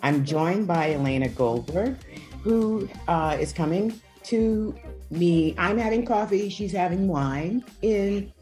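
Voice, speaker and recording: A woman's voice: fairly low pitch, expressive, takes it slowly, darkish tone, faint music behind. A woman in her sixties hosting a podcast, chatty and enthusiastic. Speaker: podcast host. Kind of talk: casual podcast interview.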